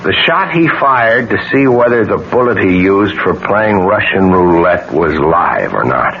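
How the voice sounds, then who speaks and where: A man acting in a 1970s radio mystery, playing a steely, determined man who speaks with deliberate, theatrical emphasis. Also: low voice, animated. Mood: grave, explaining. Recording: dull, very noisy 1970s radio recording.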